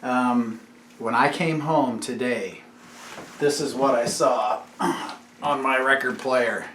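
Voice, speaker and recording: A man's voice: medium pitch, slow pace, fairly bright tone. Echoey home-video man, casual and explanatory. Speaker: YouTube channel host and father. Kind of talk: casual explanatory vlog, chatting with his children. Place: room at home. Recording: echoey room with faint background noise.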